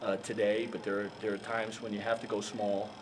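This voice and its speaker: An older man, a basketball head coach at a postgame press conference, answering reporters haltingly with many fillers and repeated words. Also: on the low side, little pitch movement. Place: press room in front of a sponsor backdrop. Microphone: handheld mics held up by reporters. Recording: noisy press room.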